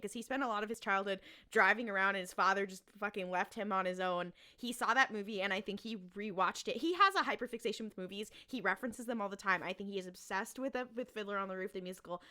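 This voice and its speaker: A woman's voice: mid-range voice, bright, forceful tone. A woman chatting casually and comedically as a podcast panelist, emphatic like a fan and repeating phrases for weight.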